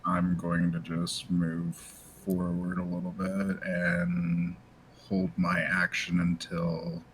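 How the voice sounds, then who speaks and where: A man's voice: low-pitched, completely flat delivery, takes it slowly, a little hiss or hum. A man playing a tabletop game, laid-back and brief. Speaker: player. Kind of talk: casual play at a tabletop game.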